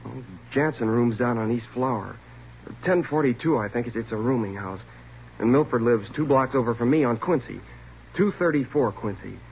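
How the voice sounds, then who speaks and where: An actor playing a frightened twenty-year-old burglary suspect in a 1940s radio drama, pleading, evasive and stammering. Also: male voice, mid-range voice, moderate pace, vocal fry, close to an everyday voice. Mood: nervous confessing. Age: young adult. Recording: noisy, muffled 1940s radio recording.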